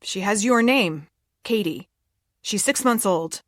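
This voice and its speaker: A woman's voice: mid-range voice, expressive, slow, fairly bright tone, no room sound, studio quality. A woman voicing a guarded, defensive young mother in a dramatic audiobook reading.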